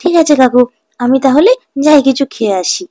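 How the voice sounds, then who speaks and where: Woman playing a polite, high-voiced young stall owner. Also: fairly quick, lightly acted. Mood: polite, trusting. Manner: earnest, respectful, mild. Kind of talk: Bengali children's cartoon dubbing.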